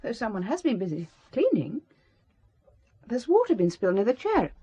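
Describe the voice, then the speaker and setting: A shrewd, devoted middle-aged secretary in a radio thriller. Her voice is husky and animated in pitch, with a formal, reproving manner. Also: moderate pace, heavily acted. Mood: suspicious, reproving. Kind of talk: radio drama acting.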